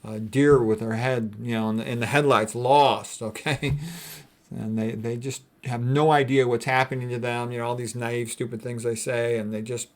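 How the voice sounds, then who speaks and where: A man with a mid-pitched voice lectures informally in the role of a doctor. He explains his material in a blunt, conversational way, moving between reading aloud and commenting off the cuff. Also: fairly even intonation, normal speed.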